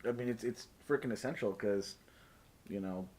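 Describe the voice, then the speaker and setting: A man's voice: lowish voice, moderate pace, a little mellow. A man casually running a tabletop role-playing game as game master, joking and swearing freely. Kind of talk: casual, joking live-streamed game narration. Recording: clear background noise, keyboard and mouse clicks.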